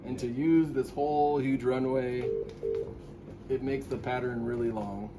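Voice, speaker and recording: A man with a mid-pitched voice talks casually, like a pilot laying out an idea to colleagues. Clear noise runs behind him in the location recording. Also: fairly even intonation, unhurried. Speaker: helicopter pilot and instructor. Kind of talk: casual discussion. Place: airport control tower. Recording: loud background noise on location.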